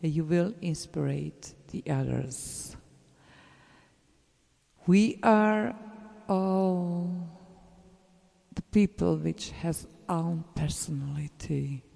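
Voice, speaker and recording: A speaker with a German accent, a native German speaker, giving an earnest, encouraging message to fellow artists, halting and searching for words with many fillers, and trailing into soft whispers. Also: medium pitch, creaky. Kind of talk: casual spoken message to listeners. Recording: roomy with long reverb.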